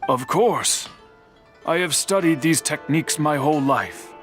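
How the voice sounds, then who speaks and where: A man playing an old, patient master swordsmith in a video game, speaking in a measured, formal, lecturing way. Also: on the high side, expressive, fairly bright tone, faint music behind. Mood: calm. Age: older.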